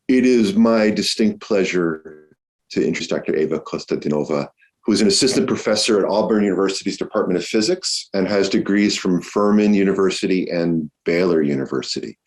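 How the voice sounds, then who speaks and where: A man's voice: sits low, brisk. A man hosting an online lecture series over a video call, thanking the crew and introducing the guest with gratitude, using many fillers. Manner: warm, grateful, with many fillers.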